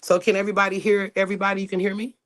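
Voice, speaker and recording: A woman's voice: mid-range voice, level tone, very fast. Rambling woman hosting a live call panel. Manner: loose, rambling, with frequent restarts. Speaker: panel host. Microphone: video call.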